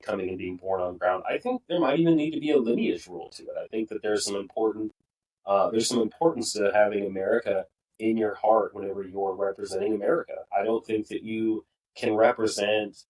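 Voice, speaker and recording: A young man co-hosts a casual podcast with a somewhat low voice. He talks earnestly and with conviction, often restarting his sentences. He sounds a little distant from the microphone. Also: a little fast, darkish tone. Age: young adult. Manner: earnest, opinionated, rambling with restarts. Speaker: podcast co-host. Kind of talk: casual political discussion.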